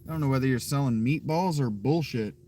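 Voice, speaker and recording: A man's voice: medium pitch, brisk, darkish tone. A man streaming and chatting with viewers in a joking, rambling way, swearing freely. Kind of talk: casual chat.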